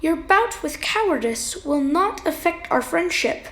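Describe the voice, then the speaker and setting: A woman's voice: very high-pitched, animated, measured pace, fairly bright tone, exaggerated voice. A woman playing a formal, unflappable, literal-minded brainy child in an audio drama. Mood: unflappable, dry. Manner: stiffly formal, over-precise wording.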